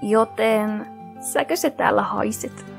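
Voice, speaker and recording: A woman's voice: medium pitch, gravelly, faint music behind. A woman playing a prim, suspicious teenage girl fighter in an anime parody dub. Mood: questioning.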